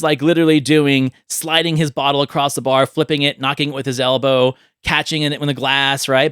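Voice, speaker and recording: A man's voice: fairly high pitch, a bit bright, smooth, no echo. Man hosting a dry, close-miked podcast. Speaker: podcast host. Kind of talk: casual film chat and movie pitching.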